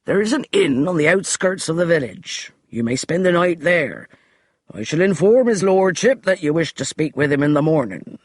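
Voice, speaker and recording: Man voicing a stern rasping butler, husky, forbidding, storybook reading. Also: on the high side, big pitch swings, moderate pace, no echo, clean studio sound, pitched above the performer's own voice. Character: tall stern male butler guarding an earl's door.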